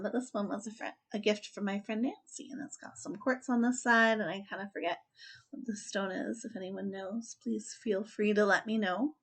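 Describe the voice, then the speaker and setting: A woman hosting a children's story time, speaking and reading a picture book aloud in an expressive, deliberate storytelling style. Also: medium pitch, slightly rough.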